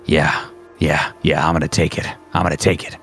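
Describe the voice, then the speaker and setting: A man's voice: mid-range voice, animated, moderate pace, gravelly, fairly bright tone, soft background music. A man playing an eager, trigger-happy sniper boss in a roleplaying game, terse, repetitive and domineering. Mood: eager, intent.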